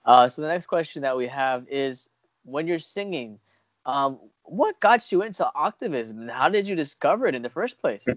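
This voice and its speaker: A man's voice: on the high side, expressive, moderate pace, no room sound. A young man hosting a casual interview, a little hesitant and apologetic as he talks. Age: young adult. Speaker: interviewer, host. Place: room at home, video call. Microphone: wired earphones on video call. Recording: dull, lo-fi video call audio.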